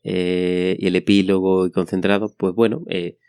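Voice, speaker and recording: Deep-voiced man in casual chat. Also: level tone, moderate pace, darkish tone, smooth, no room sound, studio quality. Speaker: podcast co-host. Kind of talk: casual board game discussion.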